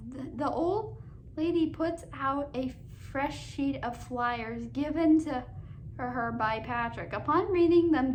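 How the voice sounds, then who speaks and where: High-pitched, halting female commentator. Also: slight background noise, rough recording. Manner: halting when reading, often stumbling and repeating words. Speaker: host of a cartoon countdown video. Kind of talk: casual commentary mixed with reading plot summaries aloud.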